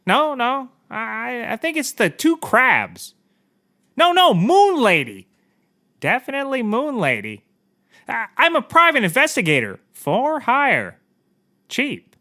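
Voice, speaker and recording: An actor playing a bumbling, cheap private eye, stammering and second-guessing himself in a scripted comedy. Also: male voice, very high voice, wildly swooping pitch, slow, sharp tone, full character voice. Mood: flustered. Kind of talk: scripted audio comedy courtroom acting.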